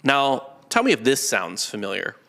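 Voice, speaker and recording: A man with a bright, cutting voice at an average pitch hosts a history podcast. He explains things casually and conversationally, with a joking, informal delivery. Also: wildly swooping pitch, moderate pace, creaky. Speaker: podcast host. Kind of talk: casual comedic history chat.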